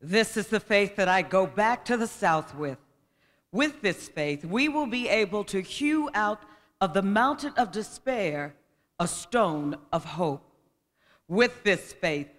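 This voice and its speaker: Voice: medium pitch, fairly bright tone. A male voice giving a dramatic speech reading with an orator's delivery at a commemorative event. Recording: reverberant hall.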